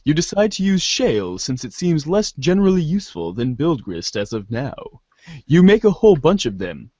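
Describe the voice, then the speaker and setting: A man's voice: fairly high pitch, big pitch swings, moderate pace, warm tone, no room sound. A man hosting and narrating an amateur reader's theater, in a muffled lo-fi recording. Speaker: host and narrator.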